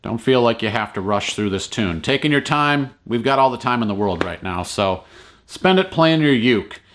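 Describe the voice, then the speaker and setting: Deep-voiced man teaching ukulele casually. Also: animated, normal speed, a bit bright, a little hiss or hum. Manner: encouraging, easygoing, informal. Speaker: ukulele teacher and online video host. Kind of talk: casual play-along tutorial talk.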